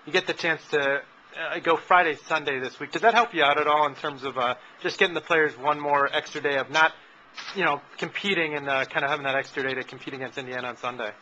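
A man asking a coach postgame interview questions in a quick way, with fillers like uh and kinda. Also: on the high side, fairly even intonation, sharp tone. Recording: dull lo-fi with faint noise.

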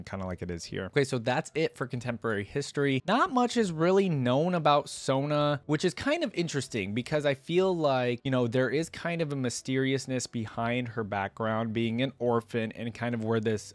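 A man's voice: medium pitch, dry recording. A man in his twenties giving casual reaction commentary, musing aloud as he reads web text at a close desk microphone. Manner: musing, thinks aloud between read passages. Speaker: reaction video host. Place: indoor room at a gaming desk. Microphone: desk microphone close in front, headset on.

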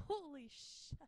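A woman's voice: high-pitched, dry recording, studio quality. Bubbly young woman chatting on a livestream. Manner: bubbly, giggly, chatty with viewers. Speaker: band singer hosting a livestream. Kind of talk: casual chat between songs. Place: indoor rehearsal room with drum kit. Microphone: handheld microphone.

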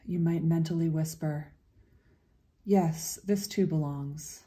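Very mellow, low-voiced woman guiding meditation. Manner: gentle, unhurried, with long pauses. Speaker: meditation guide. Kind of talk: guided meditation, slow and soothing.

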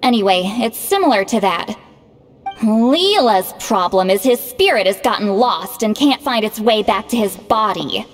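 A woman playing a proud, youthful-sounding shaman in an anime game, lecturing in a brisk, exasperated manner. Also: mid-range voice, animated, natural voice. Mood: explaining. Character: proud, bossy, youthful-sounding female shaman called Granny. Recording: long reverb, music behind.

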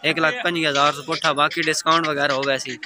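A man's voice: on the high side, little pitch movement, very noisy. Man vlogging at a cattle market. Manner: repeats prices back, brisk and friendly. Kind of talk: casual on-the-spot interviews about prices. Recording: outdoor market with loud crowd. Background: crowd chatter.

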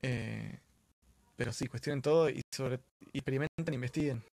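A man's voice: medium pitch, moderate pace, creaky, darkish tone, no echo. A man with an Argentine Spanish accent, talking casually and earnestly as the host of a live stream, often repeating words for emphasis.

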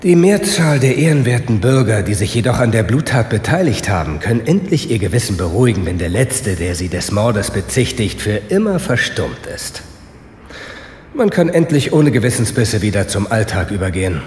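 An actor playing a resigned, sardonic condemned prisoner in a German radio play, speaking articulately. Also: male voice, on the low side, sing-song, moderate pace, a little mellow, roomy sound, big-room sound. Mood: bitter, resigned. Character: bitter, resigned condemned prisoner, weary of life.

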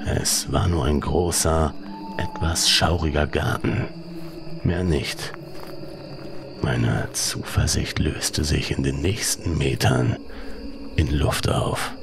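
Man, extremely low voice, gravelly fry, scripted horror narration, suspenseful and measured, music underneath. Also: steady tone.

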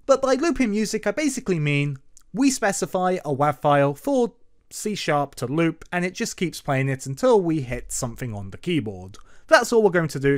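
Animated man narrating a coding tutorial. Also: on the high side. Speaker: programming tutorial host. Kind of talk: informal screen-recorded tutorial narration. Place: voice-over for screen recording.

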